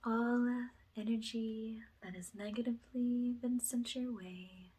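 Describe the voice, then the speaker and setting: A woman speaking in a hushed, often whispered way, guiding a spiritual ASMR energy cleansing as a healer. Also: a little high, fairly even intonation, measured pace, slightly rough.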